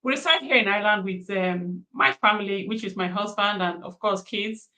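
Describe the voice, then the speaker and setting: A woman's voice: mid-range voice, normal speed, bright, forceful tone. A woman from Nigeria who works as a business coach, chatting casually with many fillers. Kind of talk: casual panel introduction.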